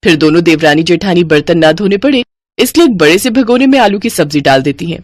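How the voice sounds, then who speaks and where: A woman's voice: fairly low pitch, lively intonation, fairly quick, no echo. Woman storyteller, close and dry. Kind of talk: scripted story narration.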